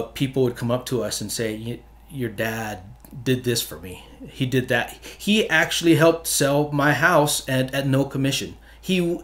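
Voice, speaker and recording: A middle-aged man from Hawaii talks earnestly about his work, with frequent restarts and trailing ends. He sits at a desk mic in a small studio room. His voice sits at an ordinary pitch for a man. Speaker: photographer guest. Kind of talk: casual interview answers. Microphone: desk mic on stand.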